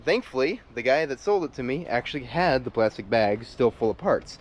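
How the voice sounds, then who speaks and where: A man's voice: mid-range voice, lively intonation, brisk, a little hiss or hum. A man showing off an old motorbike in a casual vlog, enthusiastic and rambling, with fillers and restarts, recorded outdoors on a handheld camera. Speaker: YouTube motorbike restorer. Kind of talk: casual show-and-tell vlog. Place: outdoors on a brick patio by a shed.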